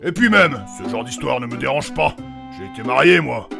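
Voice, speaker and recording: An actor playing a crude, brash fantasy adventurer in a comic audio drama, with a gravelly voice and blunt, mocking, slangy talk. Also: medium pitch, lively intonation, moderate pace. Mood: teasing. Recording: music behind the voice.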